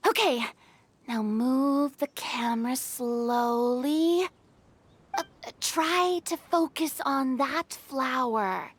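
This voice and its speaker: A woman's voice: high-pitched, slow pace, a little hiss or hum. A woman voicing a flamboyant, bossy, self-important young film director in a video game, with theatrical, commanding delivery. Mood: brisk, commanding. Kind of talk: acted video game dialogue.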